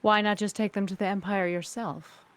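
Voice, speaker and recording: A woman playing a cool, suspicious crime syndicate boss, speaking formally and with measured skepticism in a sci-fi table read. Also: mid-range voice, fairly even intonation, close to an everyday voice.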